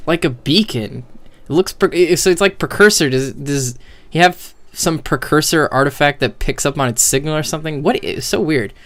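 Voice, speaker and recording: A man's voice: fairly high pitch, normal speed, a bit bright. A man giving casual, slangy streamer commentary on video game cutscenes, with swearing and incredulous questions.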